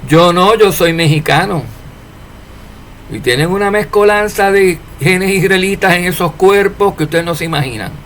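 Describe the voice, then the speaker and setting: A man preaching an informal sermon to his followers, asking rhetorical questions and swinging between loud and soft. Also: high voice, normal speed, slight background noise. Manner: preachy, rhetorical questions, animated rises and drops in loudness.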